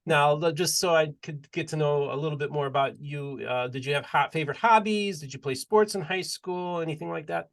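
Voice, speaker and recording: A man's voice: on the high side, fairly quick, creaky, few pauses. Chatty male podcast host. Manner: chatty, leads the conversation with long questions. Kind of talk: casual interview chat.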